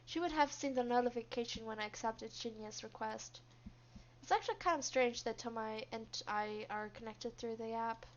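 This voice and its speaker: A woman voicing a reserved, guarded young female office worker, narrating a visual novel in a subdued, measured way. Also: a little high, slight background noise, natural voice. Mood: reflective, guarded. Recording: dull, lo-fi microphone.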